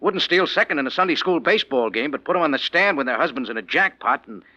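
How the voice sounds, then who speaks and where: A man's voice: fairly high pitch, lively intonation, fast, bright, forceful tone, dry recording. A man playing a blunt, cynical, streetwise friend in a 1940s radio drama, talking in wry slang. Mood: skeptical, blunt. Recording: muffled, dry old radio recording.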